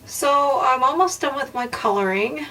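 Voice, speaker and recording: A woman with a slightly hoarse voice, narrating a casual art tutorial softly and unhurriedly, with frequent ums and pauses. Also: high voice, distant mic, low-quality recording.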